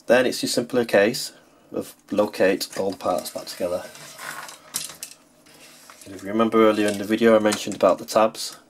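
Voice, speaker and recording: A man's voice: lowish voice, fairly even intonation, normal speed, long pauses, a little hiss or hum. A man narrating a hands-on how-to as a tutorial host, explaining plainly step by step.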